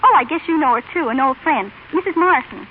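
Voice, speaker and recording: An actress playing a sweet, gushing thirteen-year-old daughter in an old radio drama. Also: female voice, high-pitched, lively intonation, fast talker. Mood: excited, pleading. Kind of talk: 1930s radio acting. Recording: tinny, hissy old radio recording.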